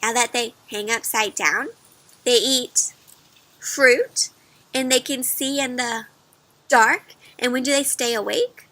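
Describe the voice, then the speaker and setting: Female storyteller for kids: high, bright, expressive, question-led interactive read-aloud, dry close sound. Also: takes it slowly, no room sound. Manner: expressive, prompting listeners with questions.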